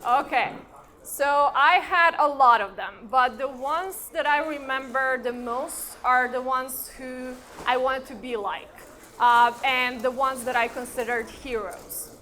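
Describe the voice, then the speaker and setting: A woman's voice: high voice, slow, sharp tone. A woman, giving an informal conference talk and speaking conversationally and directly to her audience. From Croatia and Serbia. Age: 30s. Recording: live event with background noise.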